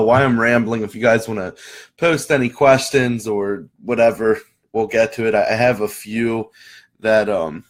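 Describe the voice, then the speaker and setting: Hoarse low-voiced man hosting a live chat. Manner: rambling, many restarts and fillers. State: hoarse after losing his voice. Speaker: host of a live marketing chat. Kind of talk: casual live-stream talk to viewers.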